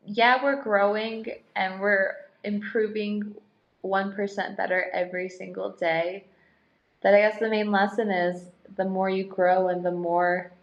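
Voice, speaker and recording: A woman's voice: medium pitch, dull audio. A young adult woman whose first language is Polish, telling stories in a chatty, casual podcast conversation full of likes and restarts. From United States. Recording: roomy echo.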